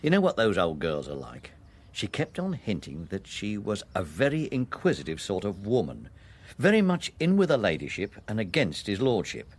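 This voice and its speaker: English police sidekick in a radio drama, deep-ish voice, lots of up and down, stolid and loyal. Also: male voice, huge pitch swings, moderate pace, slightly rough, heavily acted. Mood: respectful, confiding. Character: loyal, plain-spoken, respectful police sergeant-type sidekick. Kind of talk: British radio drama acting.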